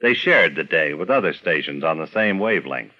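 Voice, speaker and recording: A man's voice: a bass voice, lively intonation, fairly quick. An American man reading scripted radio documentary narration as the narrator. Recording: dull vintage radio recording.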